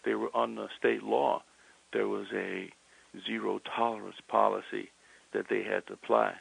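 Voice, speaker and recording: A man's voice: on the low side, flat delivery, moderate pace, gravelly, fairly bright tone, husky, poor audio. An American man, an attorney, giving a spoken statement to the press.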